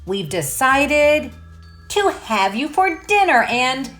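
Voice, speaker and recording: A woman's voice: high-pitched, very animated, takes it slowly, a bit bright, faint music behind, pitched above the performer's own voice, exaggerated voice. A woman voicing a polite, formal storybook goblin who cares about manners, in emphatic declarations. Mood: grand announcing. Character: polite, proper goblin host, fond of manners.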